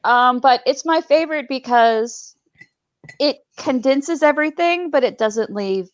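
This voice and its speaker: A woman's voice: high voice, a little slow, dry recording, muffled recording. A woman giving her opinions casually as a panelist, earnest and emphatic.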